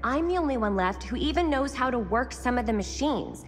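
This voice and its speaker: A woman's voice: sits high, expressive, brisk. A woman playing a curious, determined young explorer in a video game, speaking in a focused way with an American accent. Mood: focused, intrigued. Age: young adult. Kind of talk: naturalistic game cutscene acting. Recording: echoing hall, faint ambient noise.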